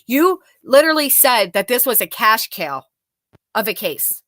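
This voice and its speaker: A woman's voice: a little high, big pitch swings, unhurried, a bit bright. A blunt, sarcastic woman hosting a live stream, mocking clips, chatting with viewers and swearing freely. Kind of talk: live commentary reacting to clips and reading chat.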